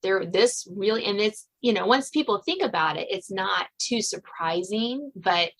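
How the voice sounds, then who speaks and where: An American woman hosting a casual interview about writing, talking in an animated, conversational way. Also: mid-range voice, moderate pace. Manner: animated, conversational, quotes others' reactions in dialogue. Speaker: podcast host and suspense novelist. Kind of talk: casual author interview chat.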